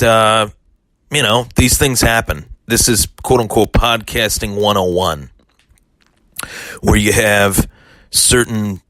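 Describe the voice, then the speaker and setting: A man with a hoarse voice hosting a solo sports podcast, talking in an aggrieved, defensive way with lots of restarts. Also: fairly low pitch, fairly bright tone, no echo.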